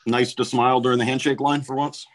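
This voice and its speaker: A man puts his question to the player at a middle pitch, in a friendly and conversational way. He sounds relaxed and familiar with the person he is asking. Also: quick pace. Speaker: reporter. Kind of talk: press conference question.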